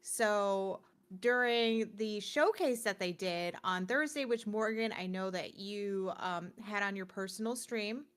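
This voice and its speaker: A woman co-hosting a streamed show, chatting casually and informally with an excitable way of talking. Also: medium pitch, measured pace, smooth. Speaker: co-host and game streamer.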